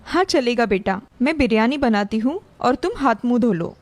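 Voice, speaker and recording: A woman's voice: fairly high pitch, animated, moderate pace, close to an everyday voice. A woman voicing a caring, supportive mother of a grown son in a story, affectionate and encouraging.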